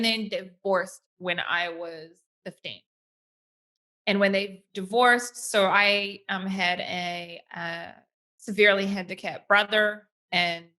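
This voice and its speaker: Bright-voiced New Zealand woman in her thirties. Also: medium pitch. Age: 30s. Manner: lively and chatty, many fillers like "like" and "um". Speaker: podcast guest. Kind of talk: casual interview storytelling.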